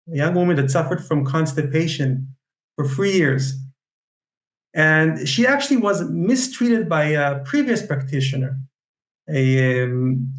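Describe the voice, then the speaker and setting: A man with an Israeli accent giving an online lecture on Chinese medicine, speaking haltingly with many fillers and restarts. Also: fairly high pitch, reverberant, poor audio. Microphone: video call.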